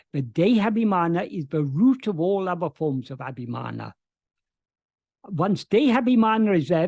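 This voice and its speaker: A man's voice: high voice, darkish tone, no echo. A man on a video call, answering questions about spiritual teachings in an earnest way, often restarting his sentences. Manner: earnest, frequent restarts and stammered starts. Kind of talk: spoken explanation of spiritual teachings.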